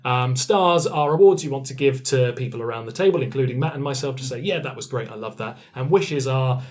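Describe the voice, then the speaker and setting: A man hosting a relaxed post-game discussion as game master, speaking in an encouraging, appreciative way. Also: mid-range voice, brisk. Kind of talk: casual post-game chat.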